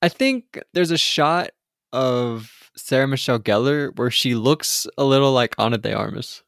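A man's voice: medium pitch, expressive, normal speed, creaky, a bit bright, no room sound, studio quality. A man co-hosting a podcast, casually recapping a TV episode in a rambling way with many fillers and restarts. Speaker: podcast co-host.

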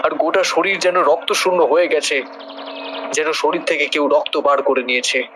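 A man's voice: fairly high pitch, steady tone, moderate pace, background noise, lightly acted. A man playing an earnest, respectful villager who recounts a dreadful mystery in an audio horror story. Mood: dread, disbelief. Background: background music.

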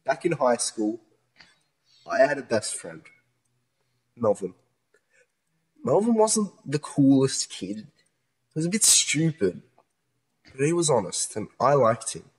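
Male actor as distressed accused young man, husky voice, earnest and pleading, modern radio drama. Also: medium pitch, sing-song, slow pace, long pauses, full character voice, low-quality recording. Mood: subdued, sorrowful. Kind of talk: modern radio play acting.

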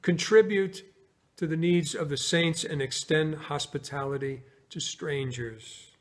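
An American man in his sixties, a pastor preaching and praying in a solemn, measured way with pauses between short phrases. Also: on the high side. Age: 60s. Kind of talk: sermon, scripture reading and prayer. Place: inside a church, near the altar.

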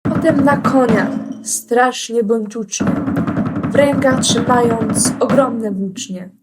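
Woman, high voice, slow measured verse reading, children's radio play narration, very noisy amateur recording. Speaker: storyteller in a community radio play. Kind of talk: rhymed children's story narration.

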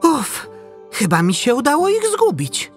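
A man's voice: very high-pitched, wildly swooping pitch, measured pace, soft background music, full character voice. A man voicing a young male humpback whale in an audiobook, in a theatrical storybook style. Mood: relieved.

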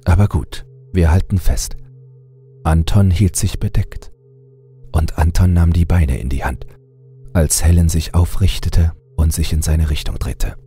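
Creepypasta narrator: man, extremely low and dark voice, scripted storytelling. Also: fairly even intonation, unhurried, faint music behind.

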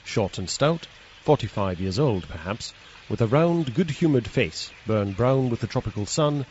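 A man playing a polite, anxious, hard-up gentleman with a British accent, speaking formally in dramatic narration and dialogue. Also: lowish voice, natural voice. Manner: formal, well-spoken. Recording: dull, faintly noisy recording.

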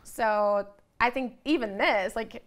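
A woman's voice: on the high side, takes it slowly. A woman in her thirties with a South African accent, chatting informally and with animation as a podcast guest. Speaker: podcast guest, author and musician. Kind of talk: casual chat. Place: small furnished indoor set with curtains.

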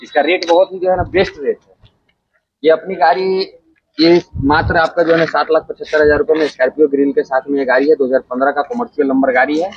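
Man, 30s, car dealer doing a rapid pushy pitch, higher voice, slightly muffled outdoor sound. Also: flat delivery, slightly rough, dry recording. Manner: fast, insistent sales patter with filler phrases. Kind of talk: sales pitch walkthrough. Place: outdoor used car lot.

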